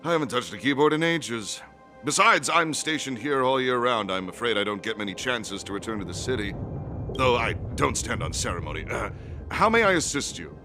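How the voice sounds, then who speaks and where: A man playing a formal, dutiful guard captain in a video game, stiff and sometimes halting. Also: mid-range voice, lively intonation, over music, lightly acted. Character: dutiful male guard captain, flustered by old friend, stern with subordinates.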